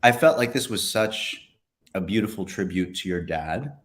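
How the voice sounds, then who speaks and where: A man's voice: sits low, animated, moderate pace, a bit bright. A man interviewing in a casual, personal way, effusive and encouraging, often restarting his phrases as he goes.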